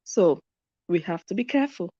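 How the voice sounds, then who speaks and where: A woman talks through a live stream, reading viewers' comments and driving her points home by saying them twice. Her voice sits a little low and sounds warm and mellow. The recording is dry and a bit dull, with a lo-fi edge. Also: animated, normal speed, breathy edge, no room sound. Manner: emphatic, repeats points for stress. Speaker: commentary channel host.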